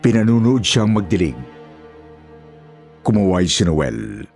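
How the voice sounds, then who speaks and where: Low-pitched male horror story narrator. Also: big pitch swings, takes it slowly, gravelly, a little mellow, soft background music.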